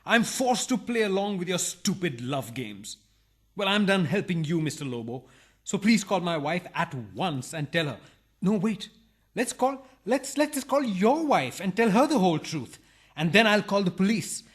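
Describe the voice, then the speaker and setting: A man's voice: high-pitched, very animated, normal speed, slightly rough, heavily acted. A man playing an aggrieved married burglar in a farcical radio play, protesting in a theatrical, mock-humble way. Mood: indignant. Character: aggrieved married burglar, indignant yet oddly principled and polite.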